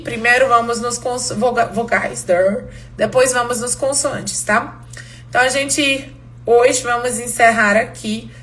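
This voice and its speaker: Lively Hungarian-accented woman teacher. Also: fairly high pitch. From Romania. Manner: lively, switching between Portuguese and English, laughing often. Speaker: language teacher. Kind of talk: live online class.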